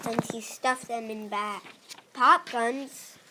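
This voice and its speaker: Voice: mid-range voice, steady tone, slow, sharp tone, slight background noise. A child narrator reading scripted lines aloud in a reader's theatre performance. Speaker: narrator in a class play.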